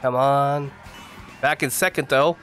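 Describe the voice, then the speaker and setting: A young man with a congested voice from the flu, giving casual, excitable commentary as he plays a racing game at home on a desk mic. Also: medium pitch, unhurried, soft background music. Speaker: gaming YouTuber. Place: home gaming room. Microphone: desk mic, face camera.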